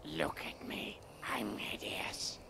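A woman voicing a hideous old hag in a video game, gloating in her power with a croaky, breathy voice. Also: rumbling low, wildly swooping pitch, takes it slowly, background noise, full character voice. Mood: gloating, awed. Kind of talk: video game character dialogue.

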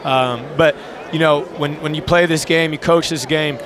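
A young man speaks as a basketball coach in a post-game interview. His voice sits a little high and his phrasing is informal and earnest. He is on a headset courtside, with a clear bed of crowd noise behind him. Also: fairly even intonation, moderate pace. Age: young adult. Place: courtside in an indoor arena. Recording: crowd noise in busy arena.

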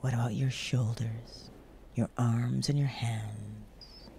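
A woman guiding a sleep meditation in a soothing, breathy voice that often drops near a whisper, with unhurried pauses between short instructions. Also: extremely low pitch, steady tone, dark tone, slight background noise. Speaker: guided meditation host.

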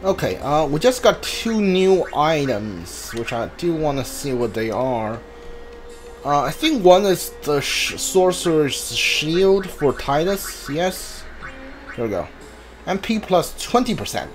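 A man streaming a video game with casual commentary, muttering to himself in short phrases. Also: fairly high pitch. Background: game music.

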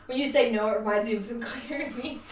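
A young Australian woman chatting to her livestream viewers, animated and self-mocking, laughing often. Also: fairly high pitch, a little fast, a little hiss or hum. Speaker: livestream host. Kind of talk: casual livestream chat. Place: home sewing room. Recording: roomy, distant, faint music behind.

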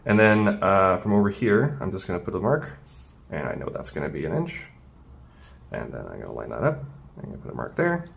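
A man with a fairly low voice walks through a hands-on task at a work table. He speaks informally, thinking aloud as he goes, like an instructor showing a craft. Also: very animated, a little fast, vocal fry, muffled recording. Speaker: tailoring instructor. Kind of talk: casual hands-on demonstration.

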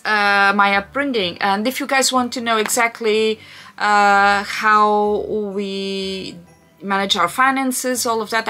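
Bright chatty Portuguese-accented woman. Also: medium pitch. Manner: chatty, rambling, frequent fillers and restarts. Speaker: vlogger. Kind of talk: casual Q&A chat.

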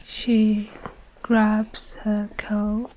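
A young woman tells a story in a breathy ASMR whisper. She moves extremely slowly and deliberately, leaving long gaps between phrases. Her delivery stays even and very mellow. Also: mid-range voice, a little hiss or hum, rough recording. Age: young adult. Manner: slow, hushed, deliberate, with long pauses. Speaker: ASMR performer narrating a story.

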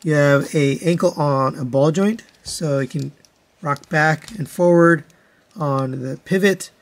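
A man's voice: fairly high pitch, measured pace. A man giving a casual product review as a hands-on toy reviewer, talking in a methodical, matter-of-fact way.

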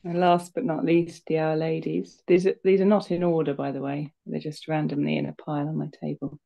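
A woman's voice: low-pitched, little pitch movement, a little fast, vocal fry. A middle-aged woman chatting casually as host of a live video call. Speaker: artist hosting a live online roundtable. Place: indoors, small living room with a crackling fire. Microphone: laptop or phone on a video call at arm's length. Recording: slightly dull video-call sound.

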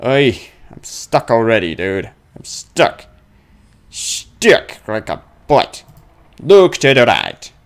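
A man's voice: medium pitch, big pitch swings, takes it slowly. A man giving casual, thinking-aloud commentary on a horror game, grumbling and muttering with sudden exclamations. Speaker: let's play gamer.

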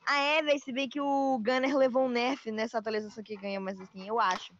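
A man's voice: extremely high pitch, fairly quick. A young male host casually chatting and teasing friends while playing an online game. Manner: excitable, teasing, sing-song outbursts. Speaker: channel host. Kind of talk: casual gameplay chat. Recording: dull lo-fi voice chat.

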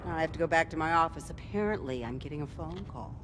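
Wry scolding lady, very lively pitch. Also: fast. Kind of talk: video game cutscene acting.

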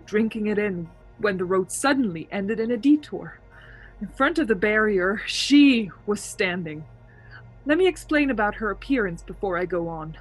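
A woman's voice: medium pitch, breathy edge, poor audio. A woman playing a haunted, anxious young driver who tells her story in a suspense radio play, earnest and nervous.

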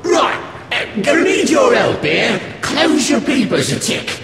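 Game imp sidekick: British, very high and gravelly, cheeky and foul-mouthed. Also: male voice, unhurried, heavily acted. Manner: jeering, slangy, excitable. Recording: echoey with long reverb, loud music.

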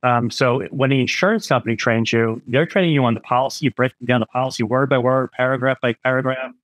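A man's voice: mid-range voice, fairly even intonation, quick pace, a bit bright, dry recording, poor audio. A middle-aged man, an independent insurance agent, explains things frankly over a video call, with many "um"s and "you know"s. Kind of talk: explanatory interview talk.

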